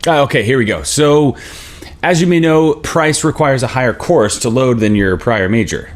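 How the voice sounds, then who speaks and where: A man playing a smug, officious financial aid advisor in his thirties, talking like an unhurried bureaucrat at a script table read. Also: mid-range voice, expressive, close to an everyday voice.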